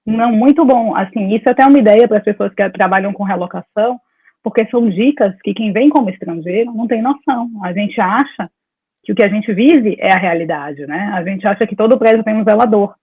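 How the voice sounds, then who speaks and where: A woman's voice: mid-range voice, a little fast, breathy edge, no echo. Talkative woman over a tinny call line. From Salvador, Brazil. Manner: talkative, meandering storytelling with laughs. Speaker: dentist, interview guest. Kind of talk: casual conversation over a call. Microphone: video call. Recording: dry, tinny phone-like call audio.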